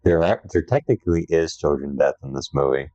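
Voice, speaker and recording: A young man with a low voice co-hosting a casual podcast chat, an enthusiastic horror fan who rambles a little. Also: brisk, warm tone, no echo. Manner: enthusiastic, self-described horror fanatic, rambling. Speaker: podcast co-host. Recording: dry, dull lo-fi sound.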